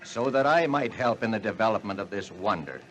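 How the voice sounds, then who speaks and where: A man's voice: fairly low pitch, lively intonation, a little fast, a bit bright. A man playing an elderly, learned professor full of wonder in 1940s radio drama, musing in a theatrical, old-fashioned way. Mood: wistful wonder. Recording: noisy vintage 1940s radio recording.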